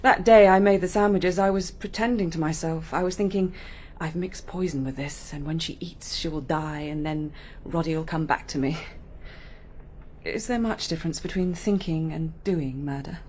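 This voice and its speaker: Woman acting low-voiced, fragile young English heiress. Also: normal speed, a little mellow, slight background noise, close to an everyday voice. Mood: haunted, confessional. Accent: British. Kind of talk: radio drama dialogue.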